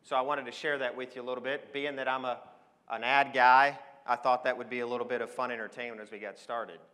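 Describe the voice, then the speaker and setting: Bright, forceful American man in a reverberant hall. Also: mid-range voice, level tone, fast. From Switzerland, then Oregon. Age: 40s. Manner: emphatic, enthusiastic, addresses listeners with questions. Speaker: conference speaker, advertising professional. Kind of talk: motivational business presentation to an audience. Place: on stage in a large hall. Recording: long echo of a large hall.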